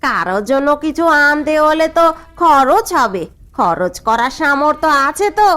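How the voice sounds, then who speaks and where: A woman's voice: high-pitched, very animated, measured pace, a bit bright, faint music behind, full character voice. A woman voicing a spiteful, snobbish young housewife in a cartoon, with a mocking, gossipy way of talking. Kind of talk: cartoon voice acting.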